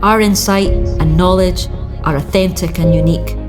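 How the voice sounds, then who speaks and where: Woman, lowish register, scripted spoken-word delivery, music loud in the mix. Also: steady tone, moderate pace.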